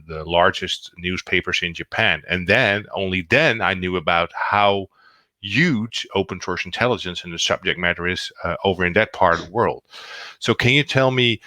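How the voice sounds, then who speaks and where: A male interviewer with a Dutch accent speaks casually and circles into his questions with halting phrasing. His voice is bright and a touch low, captured close and dry. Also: dry recording. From Netherlands or Dutch-speaking Europe.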